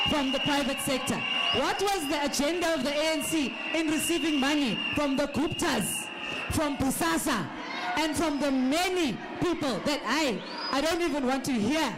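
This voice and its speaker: A South African woman giving an emphatic political speech at a town hall, pressing her points with rhetorical questions. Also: high-pitched. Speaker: political party representative on a panel. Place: large hall at a public town hall. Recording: noisy hall with loud crowd. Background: audience noise and chatter.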